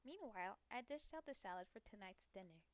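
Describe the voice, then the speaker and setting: A woman streamer reading a visual novel aloud in an expressive storytelling style. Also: medium pitch, fairly quick, dry recording. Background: game music.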